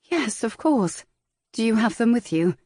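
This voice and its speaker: A woman's voice: on the high side, very animated, measured pace, dark tone, no room sound, studio quality, voice pushed up, full character voice. A woman voicing a proper, indignant young Regency lady who speaks primly. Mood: flustered. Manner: prim and indignant.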